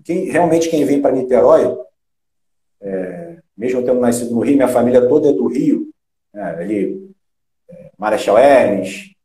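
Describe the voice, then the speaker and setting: A middle-aged man from Rio de Janeiro with a Carioca accent, a former footballer chatting as an interview guest, halting with many restarts and fillers. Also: mid-range voice, animated, dark tone. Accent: Carioca Brazilian Portuguese. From Rio de Janeiro, Brazil. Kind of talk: casual interview chat. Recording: echoey room.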